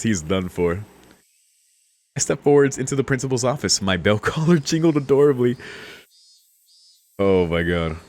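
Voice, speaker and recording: Low-voiced man doing amused game commentary. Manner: amused, slangy. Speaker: streamer doing a let's play. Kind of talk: casual game commentary, reading lines aloud.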